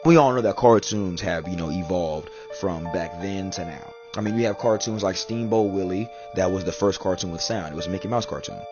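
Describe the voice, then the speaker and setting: Man, very low voice, casual halting commentary, dull lo-fi sound, music behind. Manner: halting, repeats "you know". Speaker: video series host. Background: background music.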